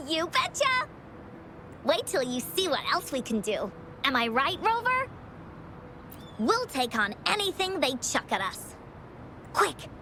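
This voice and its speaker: A woman's voice: high-pitched, big pitch swings, takes it slowly, pauses often, noisy background, exaggerated voice. A woman voicing a bubbly, brave little girl adventurer in an anime-style video game. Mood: excited.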